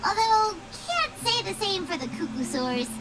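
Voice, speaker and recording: A woman voicing a small, childlike, excitable fairy companion in a video game. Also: extremely high pitch, normal speed, poor audio. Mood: chirpy, eager. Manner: refers to self in third person, exclamatory.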